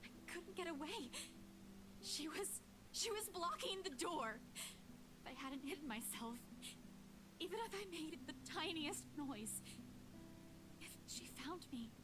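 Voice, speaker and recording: A terrified, very high-voiced young woman. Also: animated, background noise. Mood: frightened. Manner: trembling, hushed, halting. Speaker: game character.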